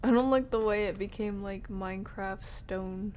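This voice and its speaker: A woman's voice: medium pitch, normal speed, a little mellow, slight background noise. A woman giving casual, playful live game commentary in slangy language, often murmuring to herself and slipping into whispers. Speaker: game streamer.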